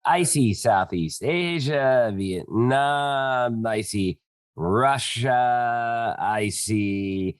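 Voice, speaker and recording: A man's voice: medium pitch, extremely slow, clear, resonant tone, dry recording, studio quality. A man hosting a podcast interview, chatty and enthusiastic with a joking manner. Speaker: podcast host. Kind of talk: casual interview.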